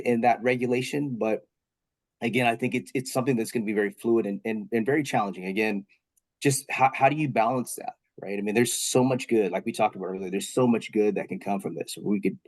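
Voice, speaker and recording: Man, slightly nasal, under the weather, lowish voice, dry sound, video call panel answer, measured and hedging. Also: no echo. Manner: measured, hedging with frequent fillers and restarts. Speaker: state technology official on a panel.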